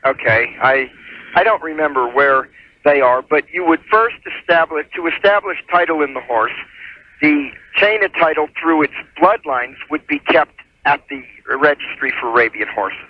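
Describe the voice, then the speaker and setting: A man's voice: on the high side, moderate pace, muffled recording. A man giving methodical, formal explanations as a legal adviser on a radio call-in show, over a telephone. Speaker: attorney calling in as legal adviser.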